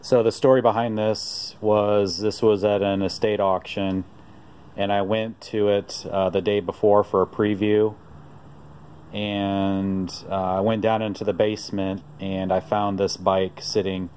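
A man narrating off-camera outdoors while filming a vintage find, telling the story in a relaxed, rambling way with frequent pauses. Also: on the low side, a little hiss or hum. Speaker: vlogger showing a vintage find. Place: outdoors on a driveway.

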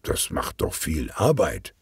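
An amiable older police detective from a children's mystery, put on by a male narrator. He is curious and cheerful, with a husky, low voice that moves about expressively. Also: huge pitch swings, takes it slowly, no room sound, clean studio sound, full character voice.

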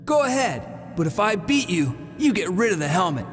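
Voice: medium pitch, big pitch swings, normal speed, lightly acted. An actor playing a brave, hot-headed young hero boy in a video game cutscene, speaking in an earnest, emphatic way. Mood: determined. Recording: long reverb over game music.

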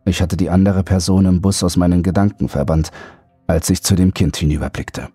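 A man's voice: fairly even intonation, fairly quick, no room sound, soft background music. A man reading a scripted first-person horror story as an audiobook narrator. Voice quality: deep, husky, mellow.

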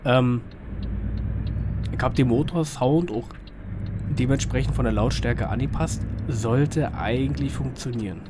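A man with a slightly hoarse, mid-range voice chats his way through a driving game. He rambles colloquially and puts small questions to himself. Vehicle engine noise runs behind him. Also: mid-range voice, a little slow, pauses often. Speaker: gaming video host. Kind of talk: casual chatty driving-game commentary. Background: truck simulator engine sound.

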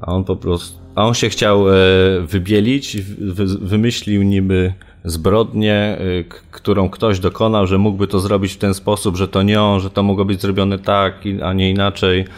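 A man recalling events in an interview as a reporter, halting and searching for words. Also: deep voice, little pitch movement. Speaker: local media reporter, interviewee. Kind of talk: spontaneous interview recollection.

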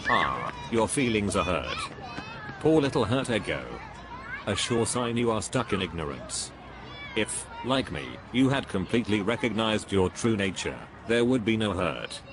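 A pompous cartoon guru, acted by a man, preaching in a dogmatic, dismissive way. His pitch sits a little low and swings around a lot. Clear outdoor noise and chatter run behind him. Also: big pitch swings, measured pace. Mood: condescending, preaching. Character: pompous preachy man playing enlightened non-duality guru. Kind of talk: scripted animated dialogue.

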